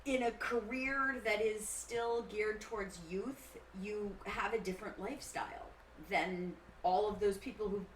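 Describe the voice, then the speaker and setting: A woman guesting on a casual podcast chat, an animated storyteller who slips into quoted voices. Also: medium pitch, measured pace, far from the mic, slight background noise. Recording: echoey room, voice off-mic.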